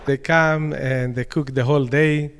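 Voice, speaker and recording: A man with an Israeli accent answers questions at an easy mid pitch, plain and a little halting. His voice rings with a long room reverb. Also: steady tone, clean tone. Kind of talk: casual interview answers. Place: community centre dining room.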